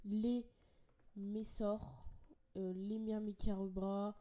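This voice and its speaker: A woman's voice: medium pitch, flat delivery, drawn-out words, warm tone. A young woman with a French accent and a bit of a cold, chatting enthusiastically as she walks through a website, full of fillers and restarts. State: has a cold, a bit congested. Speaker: ant-keeping channel host. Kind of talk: casual screen-share product walkthrough.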